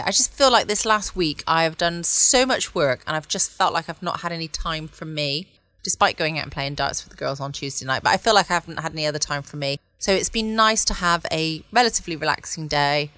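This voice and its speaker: A woman's voice: sits low, fairly bright tone, no echo. A woman hosting a bedtime podcast from home in an informal, rambling, chatty way. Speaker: podcast host. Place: at home, close dry recording.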